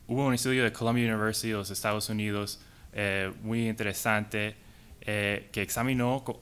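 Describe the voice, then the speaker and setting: Low, clear man speaking halting Spanish. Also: bright, forceful tone. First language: English. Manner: halting, hesitant delivery in non-native Spanish. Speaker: conference panelist. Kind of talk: prepared conference talk.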